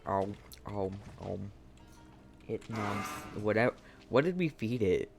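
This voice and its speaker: Slow, laid-back male game streamer. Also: fairly low pitch, lively intonation, vocal fry. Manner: laid-back, musing to himself. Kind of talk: casual gameplay commentary. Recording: game music behind.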